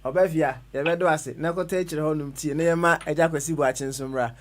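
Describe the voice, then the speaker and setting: Male radio host, loud and brisk, slightly high voice. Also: steady tone, slight background noise. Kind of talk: radio talk show hosting.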